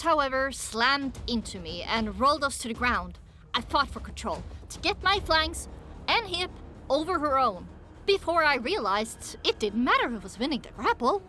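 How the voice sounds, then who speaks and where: A woman's voice: sits high, pushed and bright. A woman reading dramatic first-person audiobook narration, with music playing underneath.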